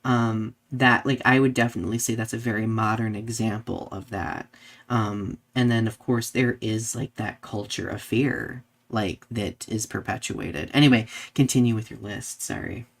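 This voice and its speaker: A man co-hosting a live podcast in casual discussion, halting and peppering his talk with "like" and questioning tags. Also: lowish voice, fairly even intonation, creaky. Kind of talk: casual discussion, reading viewer comments.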